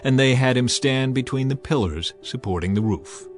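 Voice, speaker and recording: Guy narrating an audio bible, measured storyteller delivery, mid-pitched voice, music behind him. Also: lively intonation. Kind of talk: dramatized scripture narration, scripted.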